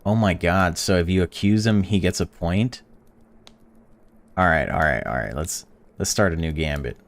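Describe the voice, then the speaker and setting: A man hosting a gaming channel, reading a visual novel's text aloud while playing and breaking off into excited or indignant reactions. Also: low voice, moderate pace. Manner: reads game text flatly, then blurts excited or indignant reactions.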